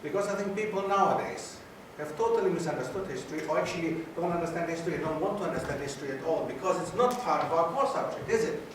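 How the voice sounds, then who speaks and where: Male guest lecturer, fairly high voice, quizzes the crowd, recorded far off in a very reverberant hall. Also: quick pace, few pauses, background noise. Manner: questions the audience, repeats key points for emphasis. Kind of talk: university society lecture.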